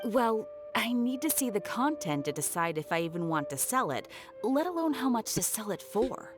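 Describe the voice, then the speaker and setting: A woman's voice: on the high side, sing-song, moderate pace, music in the background. An actress playing a skeptical, no-nonsense businesswoman in a video game, pointed and dryly dismissive. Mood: exasperated. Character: skeptical, no-nonsense businesswoman, exasperated but polite.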